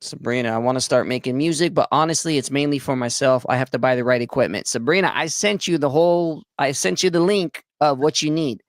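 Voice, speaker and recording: A man's voice: mid-range voice, brisk, hardly any pauses, no echo, clean studio sound. A man hosting a casual livestream talk, laid-back, laughing often and reading out chat comments. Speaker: livestream host.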